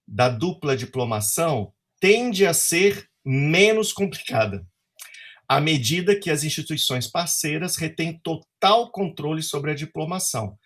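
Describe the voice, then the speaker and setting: A man's voice: a little high, a bit bright, slightly rough. A man hosting an academic webinar over a video call, reading a formal introduction with frequent fillers. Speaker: webinar host.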